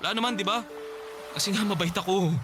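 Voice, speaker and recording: Man acting a high-pitched, desperate ex-boyfriend. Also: fast talker, over music, close to an everyday voice. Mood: unhinged, wheedling. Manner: stammering, pleading, repeating himself. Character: desperate, obsessive ex-boyfriend begging for love, volatile, self-pitying. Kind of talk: melodramatic radio drama acting.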